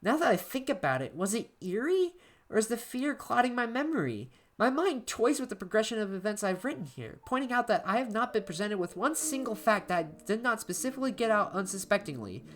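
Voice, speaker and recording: A woman playing an anxious, isolated diarist in a horror story, reading in a halting, emotive way with music behind her. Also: medium pitch, pitched above the performer's own voice, lightly acted. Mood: panicked. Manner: halting, emotive, with stammered repeats. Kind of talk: dramatic first-person horror story narration. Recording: background music under voice.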